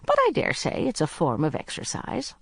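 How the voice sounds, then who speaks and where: Woman reader as a gentle, shrewd older lady, lively inflection, dry booth. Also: low voice, gravelly, slightly rough, no echo, full character voice. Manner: mild, gentle, unhurried.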